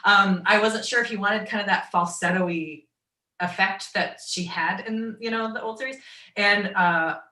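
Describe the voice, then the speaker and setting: A woman with a naturally low voice and a Midwestern accent, telling stories as a guest on a video call. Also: moderate pace, bright, forceful tone, reverberant, recorded from a distance. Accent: American Midwestern. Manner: chatty storytelling, quoting others in asides. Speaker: guest voice actor and writer. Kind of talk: online fan Q and A.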